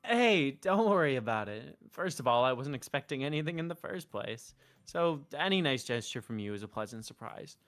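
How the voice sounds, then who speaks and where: A streamer reading the lines of a male high-school student, earnest and a bit flustered. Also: very animated, normal speed, fairly bright tone. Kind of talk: reading a visual novel aloud.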